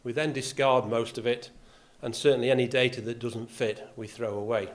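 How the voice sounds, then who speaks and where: A man's voice: mid-range voice, steady tone, normal speed. Older yorkshire guy giving a clubhouse talk, faint accent, dry self-mocking jokes, says uh a lot. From Yorkshire, England. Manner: dry self-deprecating humour, many "uh" fillers. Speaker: golf club vice captain giving a talk. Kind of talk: speech to an audience. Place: clubhouse function room.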